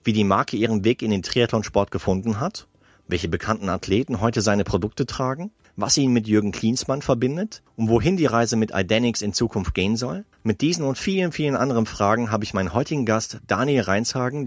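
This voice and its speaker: Man, podcast host, low voice, relaxed friendly questions, dry sound inside a car. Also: brisk, dry recording. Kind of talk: podcast interview. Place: inside a parked car at an expo.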